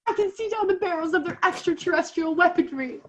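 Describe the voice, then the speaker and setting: Radio play role: female war reporter played by a teenage woman, high voice, florid, grandiose, theatrical. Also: brisk, lo-fi sound. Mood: horrified, tearful. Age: late teens. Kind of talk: 1930s radio play acting. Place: bedroom on a video call.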